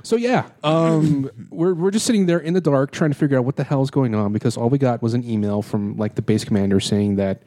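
A man's voice: mid-range voice, fairly quick, few pauses, mellow, dry recording. A man hosting a casual podcast, telling a story in a rambling way with many fillers and restarts. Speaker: podcast host.

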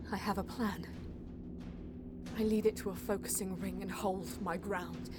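A woman's voice: medium pitch, close to an everyday voice. A woman playing a determined alien artisan who narrates her desperate fight tensely and dramatically in a sci-fi audio drama.

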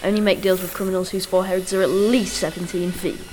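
A woman's voice: mid-range voice, flat delivery, fast, darkish tone, slight background noise, close to an everyday voice, low-quality recording. A female performer playing a righteous, pompous talking banana agent in an amateur comic radio play, declamatory and officious. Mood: stern.

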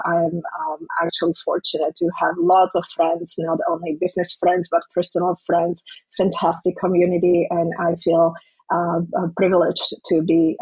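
A woman's voice: fairly low pitch, a little mellow, slightly rough, no room sound, rough recording. A woman in her forties from Slovakia with a Slovak accent, speaking earnestly as an interview guest with many hesitations. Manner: earnest, heartfelt, with frequent hesitations. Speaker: guest, organization president. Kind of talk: podcast interview.

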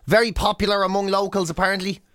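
A man's voice: high voice, level tone, fast talker, a bit bright, clear, resonant tone, no room sound, clean studio sound. An Irish man co-hosting a radio show, chatting casually and telling funny stories in a jokey, mock-enthusiastic way.

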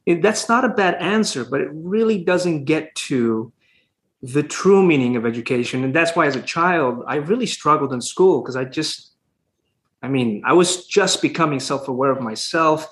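A man from Honduras, an educator speaking as an interview guest in a thoughtful, measured way with frequent hesitations. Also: fairly high pitch, lively intonation, fairly bright tone. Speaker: classical school educator and guest. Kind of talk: conversational interview answers.

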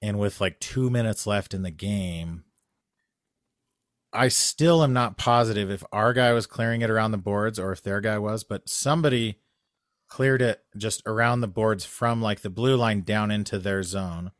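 Excitable man telling a story. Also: on the low side, normal speed, no echo, clean studio sound. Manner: animated storytelling, rising loud when excited. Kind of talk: casual chat.

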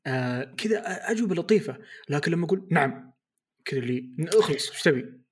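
A man's voice: fairly high pitch, expressive, breathy edge. A young man co-hosting a podcast, joking and teasing in casual chat. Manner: joking, fast-talking, teasing. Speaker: podcast co-host.

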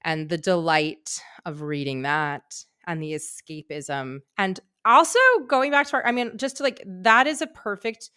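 Woman, podcast host, crisp smooth voice, close dry sound, effusive, conversational. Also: lowish voice, animated, unhurried, hardly any pauses, bright, forceful tone, no room sound.

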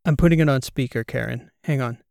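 A man voicing an excitable, incredulous male podcast co-host in an audiobook, animated and rambling. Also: mid-range voice, fairly quick, creaky, no room sound, studio quality, natural voice. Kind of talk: audiobook character dialogue.

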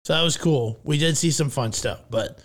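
A man's voice: a little high, moderate pace. A man hosting a podcast at home, chatting casually and joking, rambling with fillers. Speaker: podcast host. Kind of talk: casual chat.

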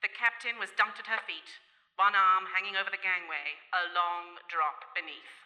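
A radio mystery storyteller reading scripted narration. Her voice is very bright and forceful at a medium pitch. Music sits behind her and the hall adds long reverb. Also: fairly even intonation, moderate pace. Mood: dramatic.

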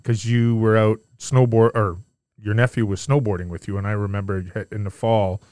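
Male podcast host, low resonant voice, friendly and unhurried, dry close mic. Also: level tone, a little mellow, no echo. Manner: friendly, easygoing interviewer with frequent fillers. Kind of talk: casual podcast chat.

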